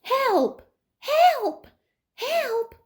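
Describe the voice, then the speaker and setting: A woman voicing a friendly, eager little blue truck with squeaky beeps and cries in a children's storybook. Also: very animated, very slow, heavily acted. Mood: pleading.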